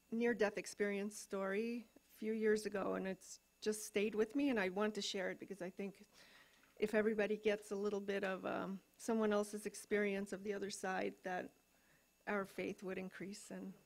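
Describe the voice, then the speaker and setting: A woman at an open mic in a coffee house, reading a story aloud in a soft, hesitant way with filler ums. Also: mid-range voice. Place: coffee house stage, live event.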